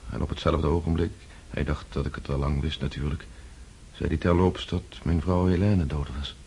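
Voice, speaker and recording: A man's voice: extremely low pitch, fairly even intonation, moderate pace, gravelly, darkish tone, slight background noise. An earnest reflective nobleman. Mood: weary. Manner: earnest, thoughtful and inward. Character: reflective male nobleman recovering from war. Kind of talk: radio drama acting.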